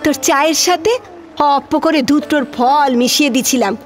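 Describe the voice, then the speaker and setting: A woman's voice: sits high, normal speed, soft background music, natural voice. A woman playing an older village maidservant in an audio drama, rustic, motherly and emotional, speaking rural West Bengal dialect. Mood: confessing. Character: older village maidservant on pilgrimage, tender-hearted, plain-spoken.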